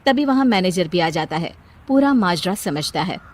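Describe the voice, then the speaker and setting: A woman's voice: on the low side, animated, normal speed. A woman reading scripted narration for a cartoon story.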